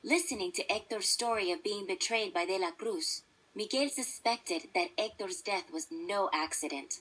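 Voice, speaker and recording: A woman's voice: medium pitch, normal speed, fairly bright tone, slightly rough, rough recording. A woman narrating a children's story from a script, as a read-along storybook narrator. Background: instrumental music.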